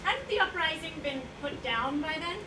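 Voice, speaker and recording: A woman's voice: high-pitched, animated, moderate pace, sharp tone. A woman in a staged reading playing a probing, skeptical carer of an old man, with a pointed, questioning manner. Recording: distant echoey room, noisy draft recording.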